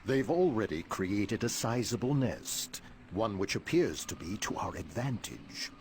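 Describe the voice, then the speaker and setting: A man voicing a composed, commanding mutant leader in a video game cutscene, with formal, deliberate diction. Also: lowish voice, sing-song, darkish tone, slight background noise. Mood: grave, measured. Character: composed, commanding male mutant leader briefing his team.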